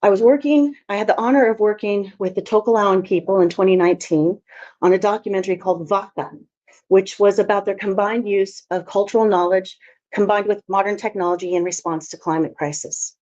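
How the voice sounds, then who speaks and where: A woman's voice: medium pitch, fairly even intonation, a little fast, a little mellow. A woman from Alaska giving a conference talk as a filmmaker, telling her story in a chatty, anecdotal way. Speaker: filmmaker presenter. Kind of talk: conference presentation. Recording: dull lo-fi audio.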